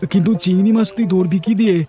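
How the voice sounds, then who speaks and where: A man's voice: sits high, steady tone, racing, mellow, faint music behind, close to an everyday voice. A man voicing an earnest, worried young village farmer in a cartoon. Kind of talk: cartoon voice acting.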